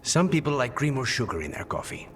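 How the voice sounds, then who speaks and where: An actor playing a dry, measured contract killer with a soft spot for cooking in a video game. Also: male voice, mid-range voice, big pitch swings, soft background music. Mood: calm, frank. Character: dry, professional contract killer who loves cooking and coffee. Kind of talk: video game cutscene acting.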